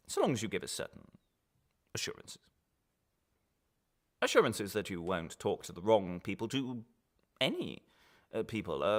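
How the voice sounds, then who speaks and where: A man playing a smooth, falsely reassuring official interrogator in a radio drama, speaking with measured, hesitant pauses and veiled threats. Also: fairly low pitch, fairly bright tone, full character voice.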